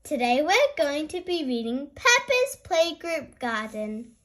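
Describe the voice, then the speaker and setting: A woman reading a picture book aloud to young children as host, expressive and deliberate in her delivery. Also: high-pitched. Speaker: storyteller, channel host.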